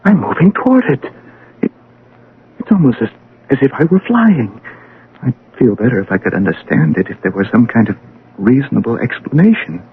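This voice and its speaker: An American actor playing a proud young scientist, shaken and bewildered, with intense, halting delivery in a radio drama. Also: male voice, medium pitch, big pitch swings, phone-quality sound. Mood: puzzled. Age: young adult. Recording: noisy, band-limited old radio broadcast.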